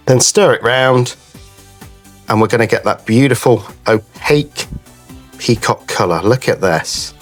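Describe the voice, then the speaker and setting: A man with a slightly croaky, cold-affected voice, chatting casually to viewers as he hosts a craft tutorial. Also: mid-range voice. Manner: chatty, addresses viewers as guys. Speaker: craft channel host.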